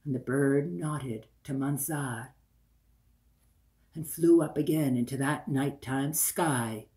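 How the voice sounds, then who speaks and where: An older man in his sixties telling a folktale in a dramatic, deliberate way, repeating phrases for effect. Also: mid-range voice, a little mellow. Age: older, 60s. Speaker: storyteller. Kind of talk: dramatic oral folktale storytelling. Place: small room indoors by a window.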